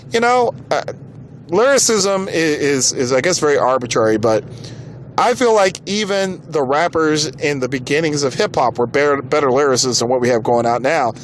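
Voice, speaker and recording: An American man hosting a podcast, giving casual opinion commentary in an exasperated, informal and emphatic way. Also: medium pitch. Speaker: podcast host. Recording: clearly noisy background.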